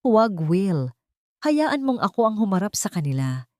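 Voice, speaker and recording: A woman voicing a wounded young pregnant wife in an audio drama, soft but cold, resolute and final. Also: medium pitch, very animated, moderate pace, dry recording, clean studio sound, natural voice. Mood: quiet, resolute.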